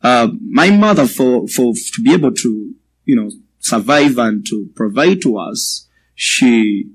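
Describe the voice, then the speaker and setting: A man in his twenties with a Ugandan accent, giving a personal testimony as a guest speaker to a church, in a measured, halting way. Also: mid-range voice, animated, slightly rough, low-quality recording. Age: 20s.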